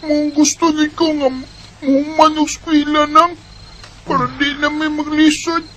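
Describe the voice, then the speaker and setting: Dutiful poor Visayan schoolchild for radio drama, high plaintive voice, slight background noise. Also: female voice, little pitch movement, a little slow, a bit bright. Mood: troubled, earnest. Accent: Visayan Filipino. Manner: plaintive, earnest. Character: earnest, dutiful schoolchild from a struggling family.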